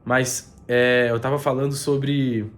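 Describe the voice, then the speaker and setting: A man with a bad cold talks straight to camera in a casual, earnest way as a mentor, his voice congested and nasal. He circles back over his points, restarting sentences and filling pauses, at an everyday middle pitch. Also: little pitch movement, a bit bright, a little hiss or hum. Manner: earnest, repeats himself, many fillers and restarts. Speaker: business mentor. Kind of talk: casual faith-based motivational talk to camera. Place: spot with a view over the city.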